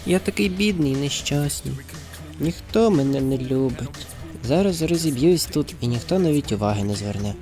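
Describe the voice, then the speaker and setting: A man's voice: on the high side, lively intonation, normal speed, music in the background, lightly acted. A man voicing a pitiful, whiny boy in a comic dub, pleading and self-pitying.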